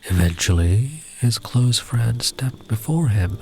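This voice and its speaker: A man telling a story in a gentle ASMR style, soft and soothing, often slipping into a breathy near-whisper. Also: medium pitch, animated. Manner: soothing, unhurried, tender.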